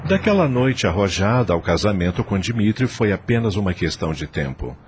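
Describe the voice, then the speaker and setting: A man's voice: fairly low pitch, sing-song, fairly quick, over music. A man narrating a radio drama.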